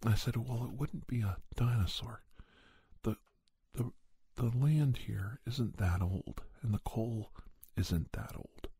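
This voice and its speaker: Guy playing an earnest monster investigator telling his tale asmr style, really breathy and hushed, mellow, stops and starts a lot. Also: medium pitch, no echo, exaggerated voice. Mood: hushed, musing. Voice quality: breathy, soft, husky. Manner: hushed, halting, pausing often mid-sentence. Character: Doctor Andrew Michaels, earnest monster investigator recounting a hunt. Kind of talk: ASMR storytelling, told as first-person account.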